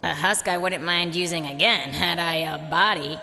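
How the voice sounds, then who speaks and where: A woman's voice: fairly low pitch, normal speed, gravelly, noisy background. An actress playing a sassy, flirtatious gorgon woman in a video game, with a teasing, sarcastic, brash delivery. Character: sassy, flirtatious snake-haired gorgon woman without a body. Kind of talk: video game character acting. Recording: long reverb, faint music underneath.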